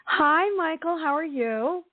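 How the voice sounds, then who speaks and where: Woman, east coast american accent, voice still recovering, highish pitch, dry tinny phone sound, earnest. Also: no echo. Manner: earnest, halting with fillers. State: recovering from lost voice. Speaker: co-host, intuitive wisdom coach. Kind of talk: casual spiritual radio talk.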